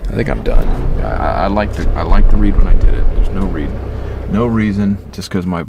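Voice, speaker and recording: A man's voice: low-pitched, a little fast, gravelly, over music. A man who streams games, chatting off the cuff in a grumbling, muttering way. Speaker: game streamer. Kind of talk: casual off-the-cuff chat while gaming.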